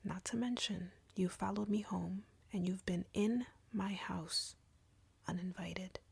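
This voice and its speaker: A very breathy, husky vampire woman, played by an actress in an intimate roleplay, drawing out her taunts slowly with a creaky edge. She is arrogant, cruel and flirty, condescending to her captive at close range. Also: medium pitch, steady tone, no echo, full character voice. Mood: cold, teasing. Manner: slow, taunting, condescending, teasing. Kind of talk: scripted roleplay audio, intimate ASMR-like delivery. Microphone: close, dry microphone.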